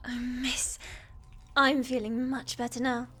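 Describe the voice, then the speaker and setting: A young woman playing a shy, obedient witch's apprentice in a fantasy ASMR roleplay, meek, hesitant and soft-spoken. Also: high-pitched, level tone, slow pace, husky, full character voice. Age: young adult. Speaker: voice actor in audio roleplay.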